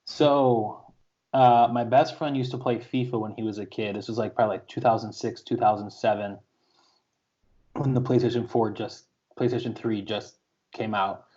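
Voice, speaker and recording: A man on a podcast rambles through a story, filling gaps with ums and likes. His voice sits a little low. The sound is somewhat lo-fi, like a home podcast setup. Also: fairly even intonation, normal speed, warm tone. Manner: chatty storytelling with many ums. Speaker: podcast co-host. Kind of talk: casual podcast chat. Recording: slightly lo-fi podcast audio.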